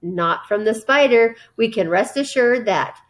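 A woman's voice: a little high, animated, unhurried. Woman putting on a pompous male fairground announcer. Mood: grand, assured. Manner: grandiose, formal oratory.

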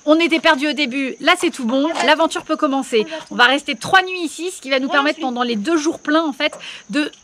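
A woman's voice: sits high, brisk, a crowd in the background. A woman in her forties chatting enthusiastically as a travel vlogger, recorded outdoors in a rural village on a handheld camera. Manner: chatty, enthusiastic, restarting phrases. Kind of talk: casual travel vlog narration.

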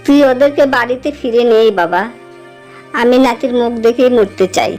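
A woman's voice: a little high, lively intonation, normal speed, darkish tone, lightly acted. A woman voicing a dramatic, admonishing old mother-in-law from a landlord's household in a cartoon moral story. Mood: weak, remorseful. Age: older. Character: stern old landlord-family mother-in-law, later frail and remorseful. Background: background music.

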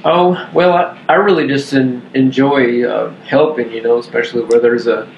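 A man's voice: medium pitch, steady tone, normal speed, gravelly, a little hiss or hum. A man speaking hesitantly and modestly in a casual discussion. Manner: hesitant, modest, soft-spoken. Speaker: podcast guest. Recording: dull, roomy recording.

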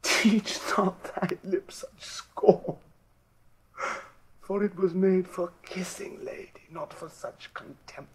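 A man's voice: high-pitched, fairly even intonation, takes it slowly, a little hiss or hum. An actor playing a scheming, silver-tongued nobleman who fervently woos a widow in theatrical Shakespearean verse. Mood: humble pleading. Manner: theatrical, declamatory, insinuating. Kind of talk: classical Shakespearean stage-style verse acting.

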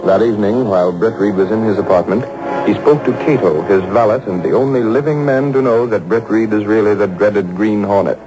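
Male radio serial narrator, lowish voice, dramatic delivery, music behind, old taped broadcast. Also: a little fast, lightly acted, poor audio.

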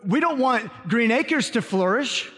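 A man preaching to his congregation as pastor, energetic, with call-and-response asides, then interviewing a guest on stage. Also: extremely high pitch, a little slow, husky. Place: large church sanctuary. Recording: reverberant church hall.

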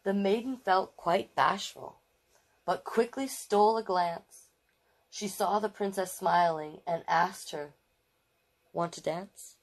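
Expressive woman reading a storybook aloud. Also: medium pitch, takes it slowly, rough recording. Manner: expressive, affectionate commentary, repeating phrases. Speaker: storytime host reading picture books. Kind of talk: children's story read aloud with chatty asides.

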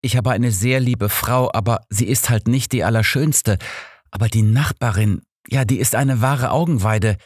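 A man's voice: mid-range voice, moderate pace, dry recording, studio quality, voice pushed up, close to an everyday voice. A man voicing a sheepish male penitent, hesitant and apologetic, in an audiobook. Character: sheepish male sinner confessing, smitten with neighbour. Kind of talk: audiobook character dialogue.

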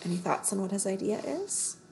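A woman in her thirties narrates a children's story with expressive emphasis. Her voice has plenty of fry, and she is recorded at home on a laptop microphone. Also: medium pitch, a little fast, a little hiss or hum, poor audio. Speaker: read-aloud narrator. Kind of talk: expressive children's book reading. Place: living room on a couch, indoors.

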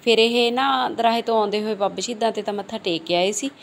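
A woman's voice: on the high side, fairly even intonation, quick pace, vocal fry, roomy sound, slight background noise. A woman in her thirties with a Punjabi accent, chatting casually and teasingly to the camera from her home kitchen, filmed on a phone at arm's length. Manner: chatty and teasing, laughs often. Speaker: family vlogger. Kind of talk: casual vlog chat. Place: home kitchen and hallway, indoors. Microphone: phone held at arm's length.

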